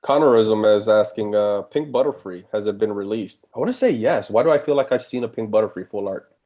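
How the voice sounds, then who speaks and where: Lower-voiced man, chatty stream co-host, restarts sentences a lot. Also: brisk, warm tone. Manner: chatty, stammers and restarts, reads chat questions. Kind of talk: casual live stream chat.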